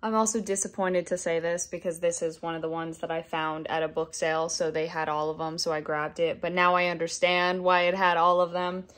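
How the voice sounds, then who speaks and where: Woman, slightly low voice, casual daily vlog chat, rambling, informal, self-correcting. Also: level tone, fairly quick, few pauses, fairly bright tone. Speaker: daily vlogger. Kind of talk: casual video diary chat.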